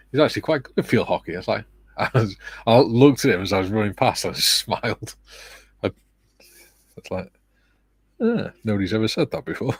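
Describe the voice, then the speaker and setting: A middle-aged man hosting a relaxed livestream, reading viewer comments aloud with a soft, soothing, self-deprecating manner and frequent laughs. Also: fairly low pitch, normal speed, long pauses, slightly rough, no echo. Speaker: livestream host.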